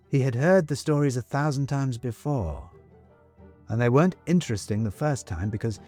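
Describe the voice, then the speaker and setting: A man reading scripted story narration as an audiobook narrator, expressive and measured. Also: mid-range voice, no room sound.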